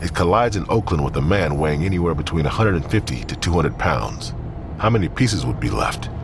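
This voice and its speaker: A man's voice: rumbling low, expressive, brisk, background noise. A man reading scripted crime fiction as the narrator.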